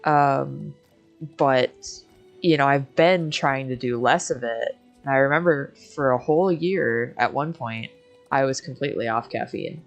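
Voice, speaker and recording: Woman with a really low voice chatting casually on a game stream, bit of music behind. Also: measured pace, muffled recording. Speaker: co-host of a game livestream. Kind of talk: casual chat while gaming.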